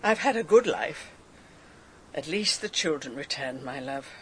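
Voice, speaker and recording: An actress playing a proud, dutiful older British great-aunt with a brisk, no-nonsense manner, in a radio drama. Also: female voice, sits low, lively intonation, a little hiss or hum. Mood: grave, resolute. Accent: British English.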